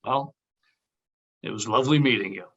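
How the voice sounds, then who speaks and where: Man playing a polite, bright-voiced film actor. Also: medium pitch, normal speed, breathy edge, dull audio. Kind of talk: table read acting.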